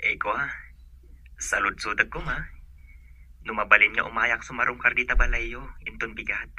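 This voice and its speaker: An actor playing a tender, devoted young suitor in a radio drama, speaking in a sincere, sentimental way. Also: male voice, medium pitch, fairly even intonation, normal speed, pushed and bright, breathy edge, no echo, muffled recording. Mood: polite, hopeful.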